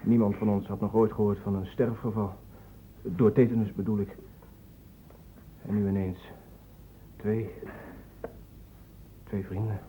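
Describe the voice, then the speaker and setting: A man's voice: fairly low pitch, flat delivery, a little slow, pauses often, dark tone, lightly acted. An actor playing an indignant young man in a radio play, speaking for his friends with insistent, challenging questions. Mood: hesitant, sombre. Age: young adult. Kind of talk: Dutch radio drama acting. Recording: muffled, narrow-band old radio recording, noisy.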